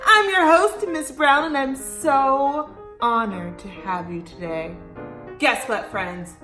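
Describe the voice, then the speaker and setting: A woman hosting a story time for young children, reading aloud with dramatic emphasis, drawn-out words and questions to her listeners. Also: high voice, pushed and bright, reverberant, faint music behind.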